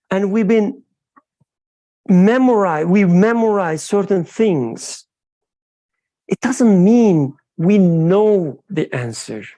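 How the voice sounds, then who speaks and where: Slow, mellow woman. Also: mid-range voice, rough recording. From Turkey. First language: Turkish. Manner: halting, searching for words. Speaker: study circle participant. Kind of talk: group discussion.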